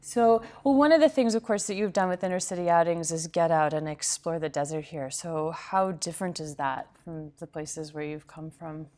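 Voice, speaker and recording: A woman's voice: sits low, moderate pace, few pauses, darkish tone. A woman hosting a TV interview, asking encouraging follow-up questions and laughing easily. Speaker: TV interview host. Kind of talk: conversational interview.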